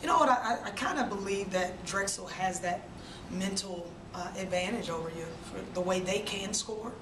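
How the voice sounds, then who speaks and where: A woman basketball coach at a postgame press conference, speaking in a subdued, measured way with many fillers, into a desk mic in a press room. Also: mid-range voice. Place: press room with sponsor backdrop. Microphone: desk mic on stand. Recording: roomy, distant, noisy press room audio.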